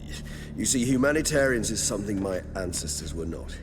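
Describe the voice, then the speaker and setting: A male actor playing a courteous, melancholy ancient vampire in a video game, speaking in formal, erudite and measured, slightly theatrical phrases. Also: lowish voice, lively intonation, close to an everyday voice. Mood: regretful. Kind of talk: video game character acting. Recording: loud game music behind the voice. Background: game music.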